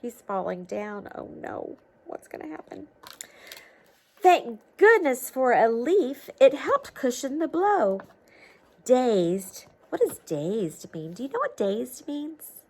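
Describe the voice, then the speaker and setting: A woman's voice: on the high side, very animated, slow pace. A woman reading a picture book aloud to children, expressively, with coaxing questions and asides to her listeners.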